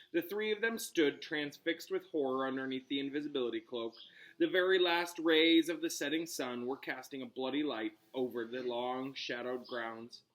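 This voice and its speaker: A man reading a storybook aloud like a teacher, expressive and dramatic, pausing on key words. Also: medium pitch, poor audio.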